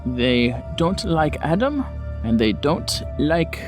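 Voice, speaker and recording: A man playing a courteous, frank 1920s doctor who talks in a hesitant, measured, polite way. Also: a little high, very animated, over music. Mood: candid, measured.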